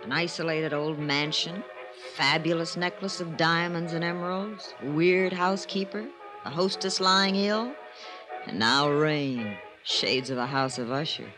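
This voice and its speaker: An actress playing a sardonic, sophisticated American magazine writer in a 1950s radio mystery, with dry, arch banter. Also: female voice, deep voice, a little slow, over music, rough recording.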